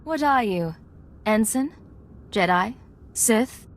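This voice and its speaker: A woman's voice: fairly high pitch, lively intonation, takes it slowly. A woman playing a cool female secret agent in a video game, terse and controlled. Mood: probing, controlled. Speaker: game character voice actor. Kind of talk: video game dialogue acting.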